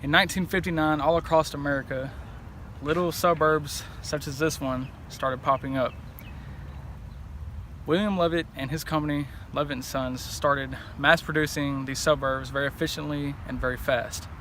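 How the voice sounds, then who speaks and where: A man's voice: fairly high pitch, steady tone, moderate pace, sharp tone. A young man giving a scripted on-the-scene news report outdoors as a field reporter. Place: outdoors by a road and houses. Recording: noisy outdoor location audio.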